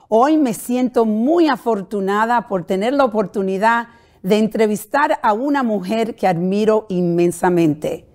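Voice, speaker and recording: A middle-aged woman hosting a talk show, speaking deliberately. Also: on the high side, a little mellow. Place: talk show studio set.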